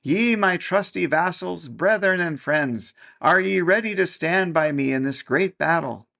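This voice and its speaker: Guy reading as a tiny fiery toy prince yelling battle chants, raised pitch, gravelly edge. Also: measured pace, full character voice. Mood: rallying. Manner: shouting war chants.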